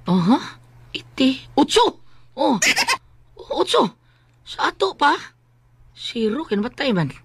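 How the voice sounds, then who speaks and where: A man with a Filipino Cebuano accent playing an excitable, lottery-obsessed taxi-driver husband in a comedic radio drama, exclaiming and muttering to himself. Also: very high voice, slow pace, gravelly, long pauses, husky, no echo, heavily acted.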